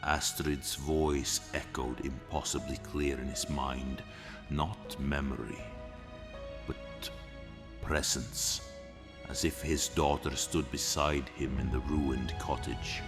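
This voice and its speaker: Very deep, slow male fantasy narrator. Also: steady tone. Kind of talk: dramatic scripted fantasy narration. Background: music.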